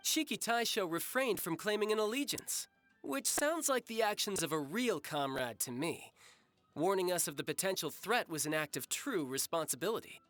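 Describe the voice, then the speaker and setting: A cocky young warrior who loves a fight, in a video game. His delivery is animated and teasing, smooth-talking and breezy. Faint music sits behind him. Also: sits high, normal speed. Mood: approving. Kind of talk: English video game voice acting.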